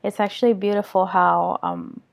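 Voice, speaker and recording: A woman conducting an interview in a mid-pitched voice. She asks long, winding questions with earnest feeling, often pausing on ums. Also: fairly even intonation, vocal fry. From Venezuela. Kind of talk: conversational interview.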